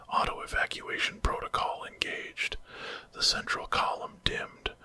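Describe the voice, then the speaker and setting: A man's voice: very high-pitched, bright, forceful tone. A man narrating a horror story entirely in a whisper, in an ASMR style.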